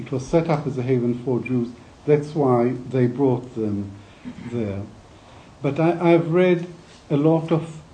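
An older man giving a reflective academic talk, speaking in a measured, deliberate way with hesitant pauses. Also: mid-range voice, warm tone, rough recording.

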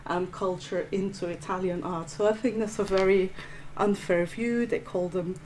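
A woman's voice: medium pitch, fairly even intonation, a little mellow, a little hiss or hum, low-quality recording. Flemish-accented woman lecturing on art. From Belgium (Flanders). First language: Dutch. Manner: measured, with frequent restarts and um's. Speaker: museum curator giving a lecture. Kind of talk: prepared lecture.

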